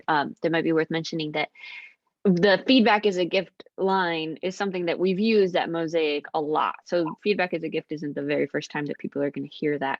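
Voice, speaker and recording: A woman's voice: sits low, vocal fry, no echo. A woman co-presenting in an informal webinar, explaining in a slightly tentative way over a lo-fi video call. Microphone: computer mic on a video call. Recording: muffled, lo-fi video call audio.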